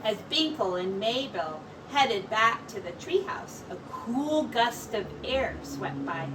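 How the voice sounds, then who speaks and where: A woman reading a children's story aloud as a teacher, with expressive narration. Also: fairly high pitch, slow, distant mic, noisy background.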